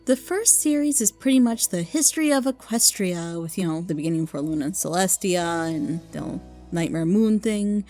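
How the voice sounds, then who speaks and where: A woman's voice: lowish voice, lively intonation, moderate pace, few pauses, warm tone, faint music behind. A woman giving a casual, unscripted review as a video host, rambling informally and with enthusiasm. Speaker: video host recommending fan audio dramas.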